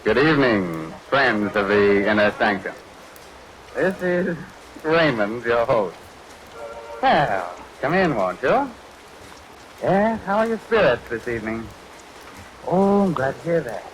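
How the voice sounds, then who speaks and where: A man's voice: mid-range voice, big pitch swings, takes it slowly, pauses often. A man playing a gleefully macabre 1940s radio horror host, mock-courteous and teasing. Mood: ghoulish glee. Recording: noisy vintage radio recording, rain behind.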